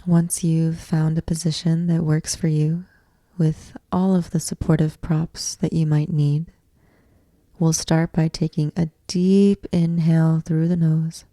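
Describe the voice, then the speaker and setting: A woman teaching yoga nidra, guiding a meditation in measured, deliberate phrases with long pauses between them. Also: low-pitched, level tone, warm tone, dry recording. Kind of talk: guided meditation, slow instructional narration.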